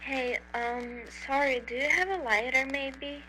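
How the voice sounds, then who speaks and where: A woman voicing an aimless, awkward, foul-mouthed young man in an indie animated horror, speaking in a hesitant, deadpan mumble. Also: high voice, slow, heavily acted, rough recording. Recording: faint hum and hiss.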